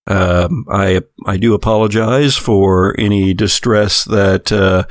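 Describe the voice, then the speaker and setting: A man playing a halting, low-voiced small-town deputy. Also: steady tone, no room sound. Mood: apologetic. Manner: hesitant, halting folksy politeness.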